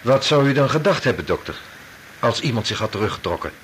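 Sober Dutch scientist, middle-pitched, polite but probing; radio drama, faint background noise. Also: male voice, sing-song, fast talker, fairly bright tone. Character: sober, questioning male medical researcher. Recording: slightly noisy old radio recording.

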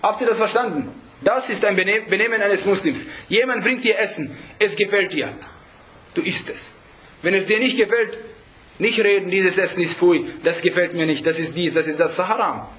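A man's voice: high voice, normal speed, fairly bright tone, rough recording. A man preaching in an informal religious lesson, conversational and full of rhetorical check-backs to his listeners, with Arabic phrases mixed in. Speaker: Islamic preacher teaching a group.

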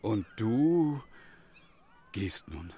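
An actor playing an ageing village schoolmaster, frail, wistful and resigned, speaking hesitantly and musingly in a German radio drama. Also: male voice, lowish voice, big pitch swings, drawn-out words, dark tone, breathy edge, full character voice. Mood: wistful, probing. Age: older. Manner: hesitant, musing, breaking into recited verse.

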